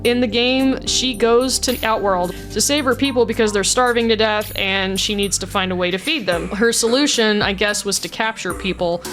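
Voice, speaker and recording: A woman's voice: medium pitch, moderate pace, hardly any pauses, fairly bright tone, faint music behind. A woman casually talking through her voice mod work, chatty and blunt, laughing often. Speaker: voice mod creator. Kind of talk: casual commentary.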